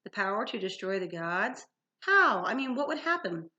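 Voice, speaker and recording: A woman's voice: medium pitch, very animated, normal speed, sharp tone. A woman voicing a tough, intense teenage girl warrior in a fantasy storybook, with blunt delivery. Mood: incredulous. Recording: dull lo-fi sound.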